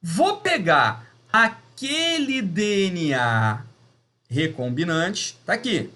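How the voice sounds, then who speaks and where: A man's voice: high voice, big pitch swings, very slow, bright, forceful tone. A man with a Brazilian Portuguese accent teaching a lesson step by step, emphatic and didactic, often putting questions to his listeners. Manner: didactic, emphatic, with rhetorical questions and check-ins. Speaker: biology teacher. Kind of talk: video lesson, explaining step by step.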